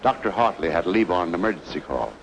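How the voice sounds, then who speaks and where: An actor playing a courteous, trusting, well-off party host with a polite, genial manner in an old radio drama. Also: male voice, sits low, animated, quick pace, creaky. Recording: muffled, noisy old radio recording.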